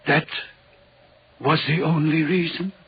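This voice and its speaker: Troubled, secretive signalman, higher-pitched male voice, brooding 1940s radio acting, noisy vintage recording. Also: fairly even intonation, takes it slowly, lo-fi sound. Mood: urgent, uneasy. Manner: grave, hesitant, brooding. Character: lonely, troubled railway signalman, haunted and secretive.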